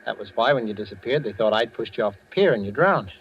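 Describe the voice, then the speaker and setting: A quick-spoken, confrontational young American man, suspicious and hard-nosed. His blunt, needling delivery is pure 1940s radio drama, on a dull recording with faint noise. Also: lowish voice, animated. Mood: accusing.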